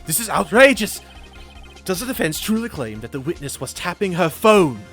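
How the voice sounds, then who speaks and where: A man's voice: high-pitched, sing-song, normal speed, fairly bright tone, faint music behind, pitched above the performer's own voice, heavily acted. Man doing a haughty, swooping, indignant prosecutor. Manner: indignant, theatrical, emphatic. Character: haughty, hot-tempered male prosecutor, quick to object. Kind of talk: improvised video game voice-over.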